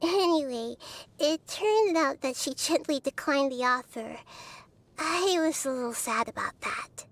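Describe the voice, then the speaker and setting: Gentle childlike goddess. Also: female voice, high voice, animated, measured pace, no room sound. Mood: tender. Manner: tender, earnest and soft-spoken. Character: gentle childlike young goddess, soft-spoken and wise. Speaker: game character. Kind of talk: game character voice lines.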